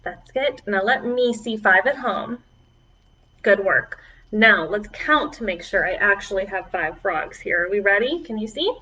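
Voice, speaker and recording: Female children's librarian for preschool storytime: lively, swooping delivery, slow and encouraging, muffled lo-fi online video sound. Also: mid-range voice, far from the mic. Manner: encouraging, animated, speaking to young children. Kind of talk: preschool singalong and chat to children. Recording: dull, lo-fi online video audio.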